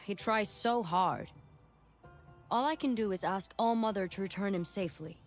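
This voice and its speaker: A woman playing a caring middle-aged village leader in an animated game, measured and earnest. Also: medium pitch, soft background music, lightly acted. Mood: concerned.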